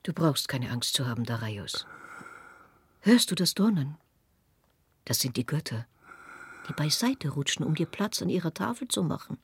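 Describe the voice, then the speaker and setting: An actress playing a stern, proud royal mother in a radio play, counseling in a measured, instructive way. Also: sits low, very animated, husky, dry recording, full character voice. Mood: soothing. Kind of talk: audio drama acting.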